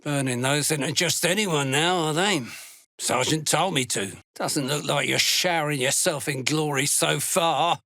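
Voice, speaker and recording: A gruff dark dwarf slave hunter from a video game, with a gritty, forceful voice that sits a bit high. He is a curt, fanatical cultist who threatens and sneers in a slow, deliberate way. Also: no echo, clean studio sound, heavily acted. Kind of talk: video game character voice lines.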